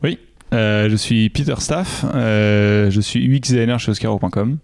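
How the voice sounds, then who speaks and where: A man's voice: fairly low pitch, moderate pace, darkish tone, dry recording, studio quality. A young man from Normandy, a UX designer, answering interview questions in a casual, hesitant way with many fillers and restarts. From Normandy, France. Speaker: UX designer guest.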